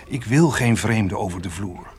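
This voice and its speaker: An actor playing a plain-spoken, stubborn but protective farmer's son in a radio play. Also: male voice, fairly low pitch, measured pace, darkish tone, close to an everyday voice. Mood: caring, stubborn. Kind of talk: Dutch radio drama acting.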